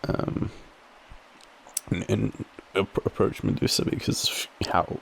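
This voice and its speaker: Sleepy young aussie guy, really low soft crackly voice, rambly boyfriend roleplay, bit of background noise. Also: little pitch movement, creaky. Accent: Australian. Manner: drowsy, halting, loose and conversational with slangy swearing. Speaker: audio roleplay performer playing a boyfriend. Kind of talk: intimate casual boyfriend roleplay, rambling storytelling. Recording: faint background ambient noise.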